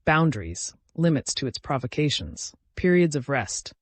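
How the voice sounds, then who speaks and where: A man's voice: fairly high pitch, very animated, moderate pace, no room sound. A man voicing an exhausted, brooding but resolute warrior in a fantasy story, serious and weary.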